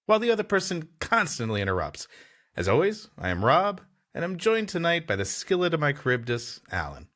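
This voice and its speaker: A man's voice: on the high side, fairly bright tone. A man co-hosting a comedy podcast, bantering with his partner and reading stories aloud playfully. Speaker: podcast co-host.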